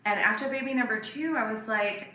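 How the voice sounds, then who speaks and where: A woman as a podcast guest, talking casually in a frank, measured, self-reflective way. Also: fairly high pitch, sharp tone. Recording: echoey room, distant mic, faint noise.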